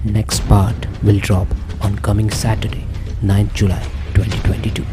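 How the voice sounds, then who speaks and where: A man's voice: on the low side, level tone, moderate pace. A man reading a scripted closing announcement as the announcer of an audio drama. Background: music behind the voice.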